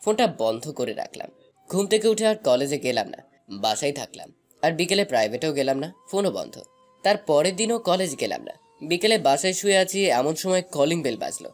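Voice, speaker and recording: A man's voice: a little high, sing-song, a little fast, soft background music. A man playing a shy young college student, narrating a romantic story in the first person.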